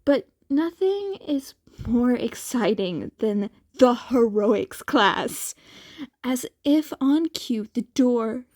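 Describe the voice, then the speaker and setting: A woman reading story narration aloud in a halting, deliberate way. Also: a little high, lively intonation, darkish tone, no echo. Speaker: podfic narrator reading fan fiction.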